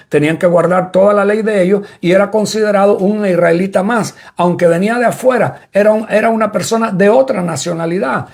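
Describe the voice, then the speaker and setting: A man's voice: high voice, breathy edge. A man from Cuba, preaching a sermon and repeating points as he explains them to listeners. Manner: repetitive, explanatory preaching that addresses listeners directly. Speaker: apostle preaching.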